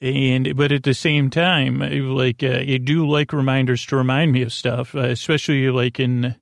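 A man hosting a sleep podcast with a meandering, goofy, halting monologue that drifts into tangents. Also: mid-range voice, level tone, vocal fry, dry recording, clean studio sound. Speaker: podcast host. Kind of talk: rambling bedtime monologue introducing a sleep podcast.

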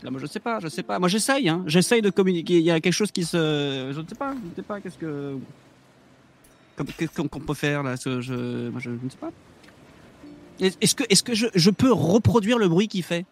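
A man's voice: a little high, lively intonation, moderate pace, soft background music. A man playing in a tabletop roleplaying game, rambling and hesitant, swearing casually and laughing often. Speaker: player. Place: home streaming setup.

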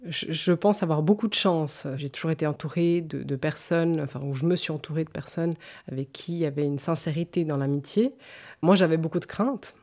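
A woman's voice: low-pitched, brisk, a little mellow. A woman, speaking in an interview in a thoughtful and hesitant way. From canton of Vaud, French-speaking Switzerland. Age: 40s. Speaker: interview guest. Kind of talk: personal testimony in an interview.

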